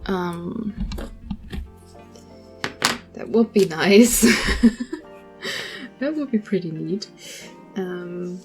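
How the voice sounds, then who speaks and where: A woman hosting a draw-along at her art desk, chatting casually with lots of fillers, her voice a little hoarse. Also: on the low side, extremely slow, pauses often, faint music behind. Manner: relaxed, rambling, many fillers. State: slightly hoarse. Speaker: art channel host. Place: home art desk, indoors.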